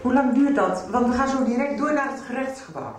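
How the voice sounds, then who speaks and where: A woman's voice: high-pitched, fairly quick, close to an everyday voice. A middle-aged woman acting a pushy, indignant mother in a radio soap. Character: pushy, indignant mother who answers for her daughter. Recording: very echoey room, faint noise.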